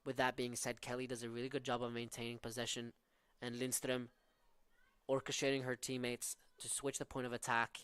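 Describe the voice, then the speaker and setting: A man's voice: mid-range voice, monotone, brisk, fairly bright tone, clean tone, no echo. A young man from southern Norway with a Norwegian accent, calling live college soccer with tactical analysis and frequent fillers. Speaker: play-by-play commentator.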